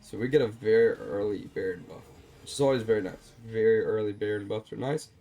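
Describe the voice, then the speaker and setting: Man, low mellow voice, a bit stuffy, calm self-deprecating game review commentary. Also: fairly quick, vocal fry. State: slightly stuffed up. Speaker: streamer reviewing his own game. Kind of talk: gameplay commentary over a replay.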